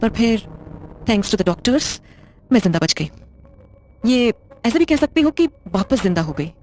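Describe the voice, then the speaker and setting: A woman's voice: a little high, big pitch swings, a little slow, darkish tone. A woman playing a blunt, controlling widowed wife in an audio drama, speaking in a curt, sardonic, interrogating way. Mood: grave, recounting. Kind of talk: Hindi audio drama acting.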